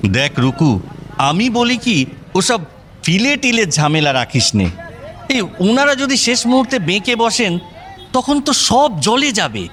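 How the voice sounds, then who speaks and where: A man's voice: high-pitched, very animated, a little slow, fairly bright tone, exaggerated voice. A man playing a flustered, grumbling middle-aged father in an audio drama, hesitant and complaining. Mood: anxious pleading.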